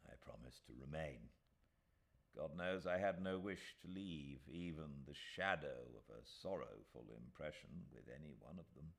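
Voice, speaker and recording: A low-pitched British man narrates a classic novel. His delivery is measured and expressive, with deliberate pauses in the manner of a dramatic audiobook. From Britain. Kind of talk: dramatic literary storybook narration.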